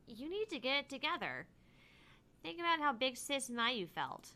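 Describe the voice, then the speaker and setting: A woman's voice: high voice, very animated, normal speed, sharp tone, voice pushed up, full character voice. A woman reading a stern young female soldier in a visual novel, blunt and commanding. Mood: stern, impatient.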